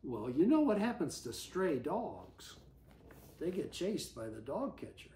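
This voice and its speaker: An older man reads a picture book to a young child in a roomy, echoing space. His delivery is slow and very animated in pitch. He often steps out of the text with gentle questions and asides to the listener. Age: older, about 70s. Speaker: story reader. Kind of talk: animated storybook reading aloud to a small child. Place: living room armchair, indoors. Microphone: camera a few feet away.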